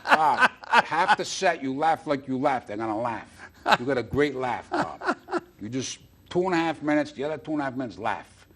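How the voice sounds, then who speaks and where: A man's voice: medium pitch, big pitch swings, moderate pace, sharp tone. A middle-aged man chatting casually as a talk-show guest, enthusiastic and often repeating himself for emphasis. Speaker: guest, stand-up comedian and booker.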